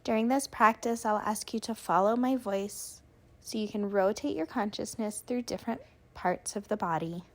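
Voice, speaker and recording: A woman leading a guided yoga nidra relaxation, giving soothing, unhurried instructions with long pauses. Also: fairly high pitch.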